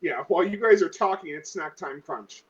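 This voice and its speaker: A man's voice: sits high, normal speed, breathy edge. A man in casual banter as a player at a tabletop game, on dull, lo-fi audio.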